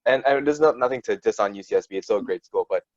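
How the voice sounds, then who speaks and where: A man's voice: fairly low pitch, fast talker, dry recording. A man in his twenties hosting a casual interview over a video call on earphones, with dull lo-fi sound. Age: 20s. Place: small room, indoors. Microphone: wired earphones on video call.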